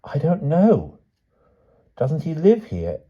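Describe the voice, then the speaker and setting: A man's voice: a little high, wildly swooping pitch, slow pace, husky, pitched above the performer's own voice, exaggerated voice. A man voicing an anxious, caring niece in an audiobook story, questioning in an urgent manner. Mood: puzzled, insistent. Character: anxious, caring, inquisitive niece of a frail aunt. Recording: dull, muffled audio.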